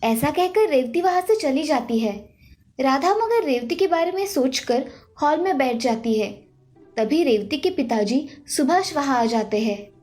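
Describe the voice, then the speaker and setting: A woman narrator with a high voice tells a scripted animated tale. Music plays softly behind her storytelling. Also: a little slow.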